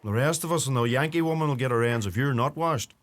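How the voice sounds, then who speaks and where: An actor playing a young, earthy farmer and youngest son, with a Donegal Irish accent and dry manner, in a radio play. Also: male voice, mid-range voice, fast talker, natural voice. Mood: wry. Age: young adult.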